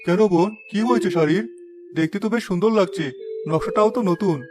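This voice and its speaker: A man voicing a principled older village weaver father in a moral-story cartoon, giving measured advice and polite admonishment. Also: sits high, over music, lightly acted. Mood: concerned.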